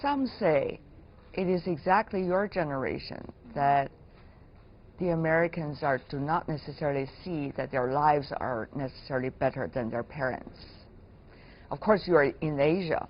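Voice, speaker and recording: A woman hosting an interview, putting questions in a measured, deliberate conversational way. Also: sits low. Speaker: interviewer, host. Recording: dull lo-fi sound with clear noise.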